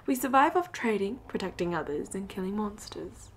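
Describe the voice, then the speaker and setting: A woman voicing a flirtatious, teasing, commanding pirate captain in an intimate audio roleplay, often dropping into breathy whispers. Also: mid-range voice, animated, moderate pace. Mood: confiding.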